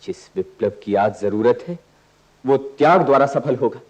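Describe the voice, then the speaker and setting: A man's voice: medium pitch, animated, normal speed, natural voice. An actor playing an earnest, idealistic young man in a radio play, speaking in lofty, poetic, declamatory lines. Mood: impassioned. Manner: lofty, declamatory, literary diction. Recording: dull archival radio recording.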